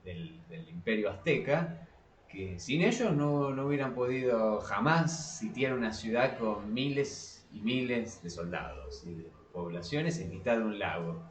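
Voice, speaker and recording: A man's voice: lowish voice, animated, a little slow, slight background noise. A man with a Rioplatense Spanish accent co-hosting a casual discussion podcast, speaking informally and emphatically, often repeating his phrases. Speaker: podcast co-host. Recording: echoey room, distant from microphone.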